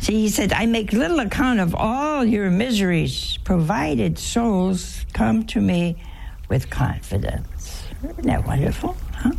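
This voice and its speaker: A hoarse older woman hosting a TV program, teaching on faith in a folksy, casual way with chuckling asides. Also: medium pitch, sing-song, a little mellow, slight background noise. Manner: folksy, chuckling asides, slow conversational delivery. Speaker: TV show host, nun.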